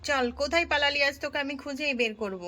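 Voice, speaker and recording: A woman's voice: sits high, fast, bright, forceful tone. A woman playing a furious scolding mother. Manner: scolding, threatening. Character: angry young mother chasing her daughter.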